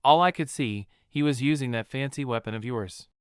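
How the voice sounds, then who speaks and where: A man's voice: medium pitch, a little fast, clean tone, no echo, studio quality, natural voice. A man voicing a folksy, money-minded hustler who talks like a chummy brother, in an emphatic manner. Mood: chummy, excited.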